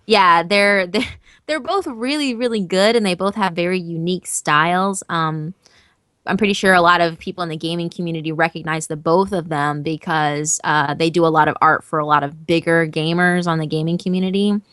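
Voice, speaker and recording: A woman who narrates horror stories, chatting as an interview guest in an animated, emphatic way and swearing casually. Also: fairly low pitch, moderate pace, clear, resonant tone, no room sound, studio quality.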